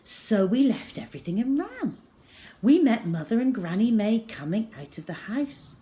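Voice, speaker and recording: A woman storyteller reading a children's book aloud in the first person, with dramatic emphasis that moves between emphatic and hushed delivery. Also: a little high, very animated, moderate pace. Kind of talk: expressive first-person children's audiobook narration.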